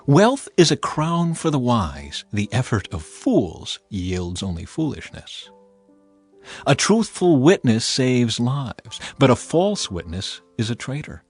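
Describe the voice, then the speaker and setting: A man reading scripture as a dramatized audiobook narrator, with a solemn, measured and expressive delivery. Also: mid-range voice, husky, soft background music.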